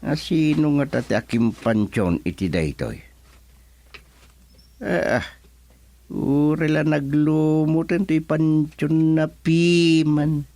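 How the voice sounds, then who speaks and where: A man's voice: a little high, slow pace, pauses often, warm tone, dry recording. A man acting an elderly, folksy, easily flustered village chairman in an Ilocano radio comedy drama, muttering and humming with an Ilocano Filipino accent. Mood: musing. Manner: muttering to himself with hums and exclamations.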